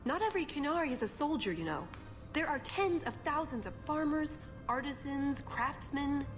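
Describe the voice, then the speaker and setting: A woman acting as an earnest, devout spy in a video game, speaking in a measured, solemn way. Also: high-pitched, expressive. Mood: impassioned. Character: earnest female elven spy agent, devout and guilt-tinged. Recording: game dialogue in reverberant hall, music behind.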